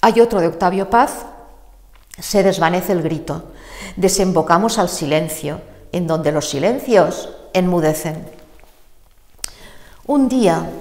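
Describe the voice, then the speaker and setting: An older woman, a guest poet, reading her verse in a declamatory way with emphatic pauses in a small TV studio. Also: lowish voice, a little mellow. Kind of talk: dramatic poetry reading, then studio chat. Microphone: lapel. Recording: echoey studio with long reverb.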